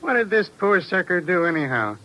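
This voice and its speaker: High, surly flophouse clerk. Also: male voice, very animated, fairly quick, muffled recording. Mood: surly, suspicious. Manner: brusque, street-tough. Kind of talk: 1950s radio crime drama acting. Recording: noisy vintage 1950s radio recording.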